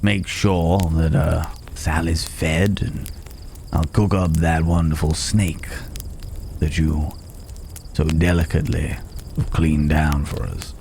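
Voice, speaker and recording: Deep male cowboy acted by a man, calm, protective, folksy, gently teasing, ASMR roleplay, crickets chirping behind. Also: creaky, slight background noise, exaggerated voice. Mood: calm, reassuring. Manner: unhurried, folksy, hesitant pauses, gently teasing. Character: gruff but caring male cowboy stranger, calm and protective. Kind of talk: immersive ASMR roleplay acting. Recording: outdoor night ambience with chirping crickets.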